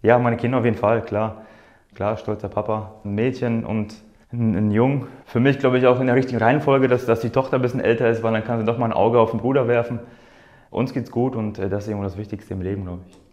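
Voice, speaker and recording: A man's voice: medium pitch, steady tone, quick pace, darkish tone, highs cut off. A man who is a native Polish speaker, answering interview questions casually as a footballer, often searching for words and repeating himself. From born in Poland, grew up in Germany's Ruhr area. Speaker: professional footballer being interviewed. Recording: roomy, echoing sound.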